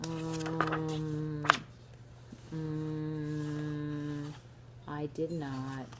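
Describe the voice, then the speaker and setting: A woman's voice: low-pitched, monotone, drawn-out words, over music, pitched below the performer's own voice. A woman voicing an anxious, easily flustered male elephant from a picture book, with hesitant, stammering delivery. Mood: sheepish.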